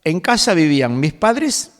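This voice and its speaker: Argentine-accented older man, higher-pitched and echoing. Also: very animated. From Galicia, Spain. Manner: quotes scripture at length, fills pauses with "este". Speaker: pastor guest. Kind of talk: conversational preaching.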